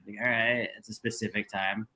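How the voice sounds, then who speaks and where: A man's voice: lowish voice, little pitch movement, a little fast, bright, forceful tone. A young man co-hosting a casual sports watchalong, rambling with lots of "like" and "dude" and some swearing. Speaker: podcast co-host.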